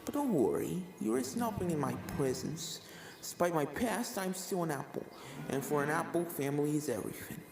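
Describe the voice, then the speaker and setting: A performer voicing a sinister female ghost mare who speaks softly and slowly with calm menace in a fan fiction reading. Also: mid-range voice, gravelly, echoey room, music in the background. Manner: hushed, slow, calmly menacing. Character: soft-spoken sinister female ghost mare, former serial killer.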